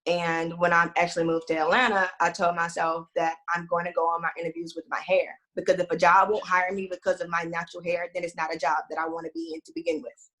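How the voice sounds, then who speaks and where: A woman's voice: fairly low pitch, flat delivery, quick pace, fairly bright tone, low-quality recording. A woman in her late twenties with a Texas accent, talking candidly and firmly in casual conversation. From Houston, Texas.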